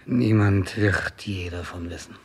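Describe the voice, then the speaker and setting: A man's voice: low-pitched, a little slow, a little mellow, breathy edge. An actor playing a self-absorbed dying king in a 1960s German radio play, wavering theatrically between commands and murmured reverie. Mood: nostalgic, sad.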